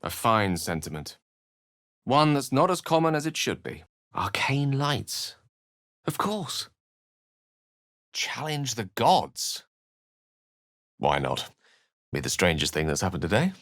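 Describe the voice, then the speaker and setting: A man's voice: medium pitch, sing-song, takes it slowly, pauses often, a bit bright, no echo, studio quality. An actor playing an eloquent, scholarly male wizard in a video game, articulate, theatrical and wry. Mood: animated, bantering. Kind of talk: video game voice acting, dramatic narration and dialogue.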